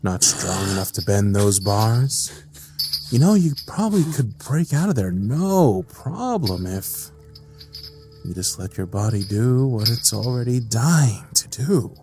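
A man playing a sadistic, mocking evil scientist in an audio drama, with a drawn-out, menacing delivery. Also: on the low side, very animated, background noise. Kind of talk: dramatic audio roleplay acting. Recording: music under the voice.

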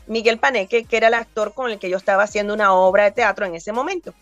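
A Cuban-born woman in her fifties, a voice actor, telling stories in an animated interview over a video call. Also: mid-range voice, quick pace, dry recording, dull audio, faint music behind. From Cuba. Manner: animated, gestures a lot while talking. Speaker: voice actor guest. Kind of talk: remote interview, storytelling.

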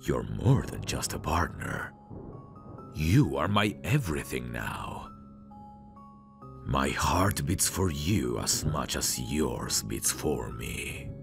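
A man playing a very deep, creepy mad scientist. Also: big pitch swings, exaggerated voice. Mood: possessive, tender. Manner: slow, intimate, theatrical. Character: possessive, creepy, doting male mad scientist. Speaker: ASMR voice actor. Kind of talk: ASMR roleplay monologue. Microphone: close microphone. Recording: close-miked voice over clear music. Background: music.

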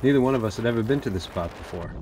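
A man narrating his kayak fishing day in a relaxed, reflective voice-over. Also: medium pitch, very fast, noisy background. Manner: easygoing, reflective. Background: music.

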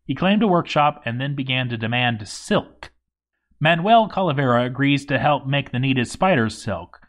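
A male narrator with a medium-pitched voice reads a game story aloud. His delivery is theatrical, leaning on emphatic pauses and dramatic swings as he tells the tale. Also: lively intonation.

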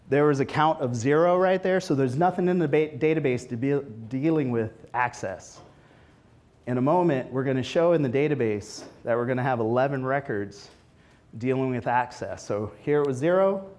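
A man in his forties presenting informally at a tech meetup, conversational, with animated asides, speaking into a lapel mic. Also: fairly high pitch. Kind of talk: informal technical presentation with demos. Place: meetup room, standing before a small audience.

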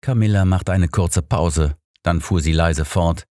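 A man's voice: deep voice, a little slow, a little mellow, dry recording, clean studio sound. A man narrating a scripted detective story as an audiobook reader.

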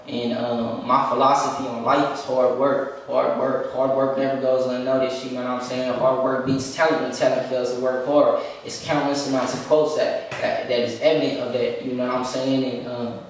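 A young man talking casually as an interview guest, laid-back and repeating "you know what I'm saying" as he speaks. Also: medium pitch. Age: young adult. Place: room with a leather couch, indoors. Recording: echoey room from a distance.

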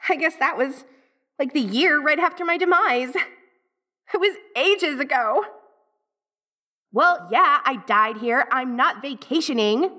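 Woman playing a high, bright, bratty valley girl ghost. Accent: American Valley girl. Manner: sassy, dramatic, flustered, peppered with 80s slang. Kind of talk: audio roleplay, one-sided dialogue to the listener.

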